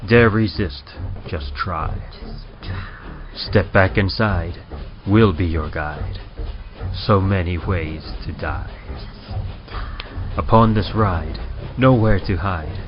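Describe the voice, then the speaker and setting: A man's voice: low-pitched, takes it slowly, long pauses. A man playing a menacing train conductor who narrates old-time radio horror in theatrical, ominous rhyme. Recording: dull lo-fi sound. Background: music.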